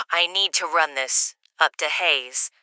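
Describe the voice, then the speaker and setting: A woman's voice: sits low, takes it slowly, bright, forceful tone, smooth, dry recording, exaggerated voice, rough recording. A woman playing a hard, exasperated, foul-mouthed handler in an audio drama, stern and terse but reluctantly helpful. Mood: tense, reluctant.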